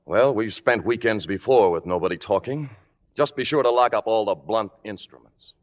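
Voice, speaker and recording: An actor playing an irate, blustering husband in an emphatic vintage radio drama style. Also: male voice, lowish voice, moderate pace, close to an everyday voice. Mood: annoyed, dry. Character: indignant, blustering husband, fed up. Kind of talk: 1950s radio drama acting.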